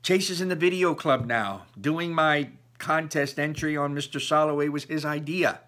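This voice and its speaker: A man's voice: fairly high pitch, moderate pace, a bit bright, pitched above the performer's own voice, lightly acted. A man voicing an upset, defensive teenage girl from a storybook, arguing her side with her parents.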